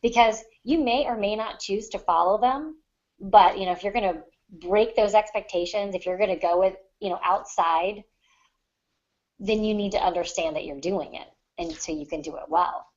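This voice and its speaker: A woman's voice: mid-range voice, normal speed. A woman co-hosting a podcast in casual discussion, giving writing advice in an emphatic, explanatory way. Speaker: podcast co-host, fiction writer. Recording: dull lo-fi online podcast audio.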